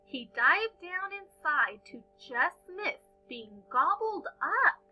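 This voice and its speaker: A woman reading a picture book aloud to young children as a storyteller, with expressive, dramatic and deliberate phrasing. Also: very high voice, muffled recording, faint music behind.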